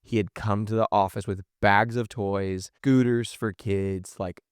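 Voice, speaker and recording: A man's voice: fairly low pitch, steady tone, measured pace, clean tone, no echo, clean studio sound. A man chatting casually as a podcast guest, earnest and encouraging in his manner.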